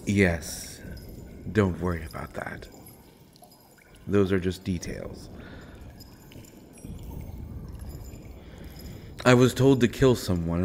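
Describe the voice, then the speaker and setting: A man playing a sardonic imprisoned assassin in a roleplay audio drama, speaking deliberately with wry mock courtesy and pointed pauses. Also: deep voice, a little mellow, a little hiss or hum. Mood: cool, defiant. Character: sardonic imprisoned male assassin, principled, coolly amused. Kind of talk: scripted roleplay audio drama, addressed to listener.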